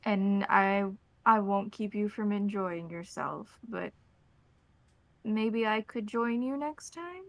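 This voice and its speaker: A reserved man played by a woman in a tabletop roleplay phone call, concerned and courteous. The voice has a creaky edge and sits close and dry, with careful, formal phrasing. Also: mid-range voice, takes it slowly, no room sound. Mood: gentle, reserved.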